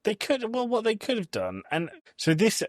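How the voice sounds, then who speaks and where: Lively guy podcast host, pitch jumps around, dry close sound, chatty and opinionated. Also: moderate pace, no echo. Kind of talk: casual chat reviewing a film.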